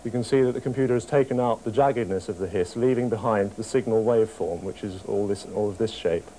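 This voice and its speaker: A man's voice: lowish voice, fast, warm tone, poor audio. A young man talking through a technical demonstration as an engineer. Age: young adult. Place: small office workroom with computers. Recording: faint room noise, TV report.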